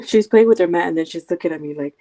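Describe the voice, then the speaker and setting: Woman co-host, mellow tone, chatty reactions. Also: fairly low pitch, fast. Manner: chatty, reacts with short remarks and asides. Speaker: podcast co-host. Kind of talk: casual chat.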